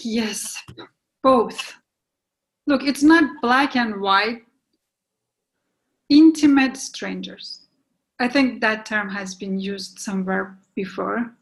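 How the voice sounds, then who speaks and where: A regretful woman gently ending a romance, acted in a play reading. She speaks slowly in a lightly raised pitch, restrained and apologetic, with a resigned air. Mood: regretful, firm. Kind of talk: readers theatre play reading.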